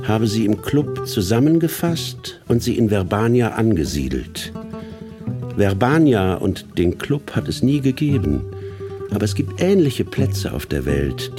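An actor playing a narrator in a radio play, speaking in a deliberate, literary manner. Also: male voice, medium pitch. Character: measured male narrator, correcting and introducing a rogue writer. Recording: studio radio play with guitar music.

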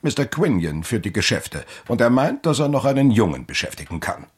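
An actor playing a cold, domineering stepfather in a German radio drama, lecturing in a measured, formal way. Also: medium pitch, sing-song, husky. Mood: cold, stern. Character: cold, stern, domineering stepfather of a young boy.

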